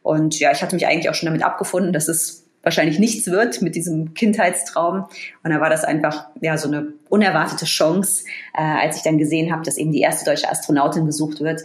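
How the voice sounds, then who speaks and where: A woman in her thirties, raised bilingual in German and English, telling her story as a guest in a casual interview with lively, animated energy and many fillers. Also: low-pitched, a little fast, reverberant. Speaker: guest, astrophysicist in astronaut training.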